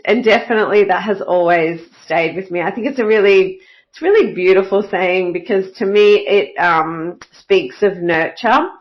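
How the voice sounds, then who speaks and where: A woman hosting her own podcast, sounding a little croaky from a cold and talking in an upbeat way with frequent "uh" fillers. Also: mid-range voice, lo-fi sound. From Australia. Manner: upbeat and enthusiastic, with many "uh" fillers. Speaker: podcast host. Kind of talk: solo podcast monologue.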